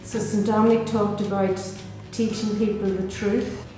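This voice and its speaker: A woman's voice: medium pitch, little pitch movement, normal speed, mellow. A middle-aged woman with a Belfast accent, a Dominican sister speaking thoughtfully about her life, with frequent ums and restarts. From Northern Ireland. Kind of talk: reflective personal interview. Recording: echoey room with music underneath.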